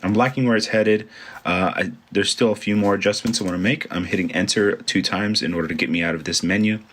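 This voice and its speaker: A man's voice: lowish voice, steady tone, fast, fairly bright tone. A man with a congested voice, a photographer casually talking through a photo edit step by step and thinking aloud as he works. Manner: thinks aloud while working, informal and chatty. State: congested from allergies. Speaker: photographer teaching photo editing. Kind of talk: casual screen-recorded tutorial.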